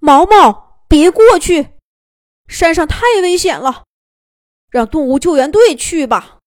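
Woman doing anxious storybook bear parents, high-pitched urgent calls, dry sound. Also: expressive, slow pace, dry recording. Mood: alarmed.

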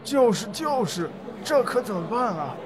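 A man plays a credulous old neighborhood resident in a radio drama. The voice is high for a man and chatty and eager, with a busy crowd around. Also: very animated, moderate pace. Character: chatty, gullible elderly community resident. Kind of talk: amateur legal-education radio play.

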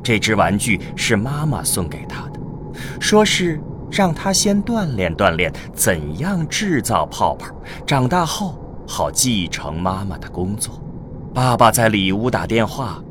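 A man's voice: fairly low pitch, sing-song, measured pace. A man narrating a scripted radio drama as a storyteller. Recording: clearly noisy with ambient vehicle sound.

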